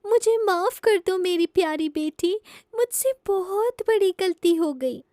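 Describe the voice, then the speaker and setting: A woman's voice: very high voice, expressive, a little slow, dark tone, no echo, clean studio sound, pitched above the performer's own voice. A woman voicing an emotional stepmother bird who speaks imploringly in a children's cartoon. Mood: remorseful.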